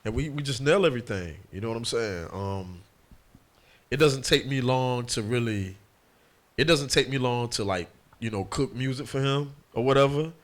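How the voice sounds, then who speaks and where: A man's voice: medium pitch, moderate pace. A man, a music producer, talking in a laid-back casual interview and often tagging "and whatnot".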